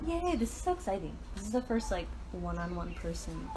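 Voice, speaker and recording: A woman's voice: fairly low pitch, very animated, brisk. A woman hosting a live-streamed artist talk, bubbly and upbeat as she greets viewers and asks questions. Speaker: host of live artist talks. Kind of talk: casual live-stream interview. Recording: live stream with clear background noise.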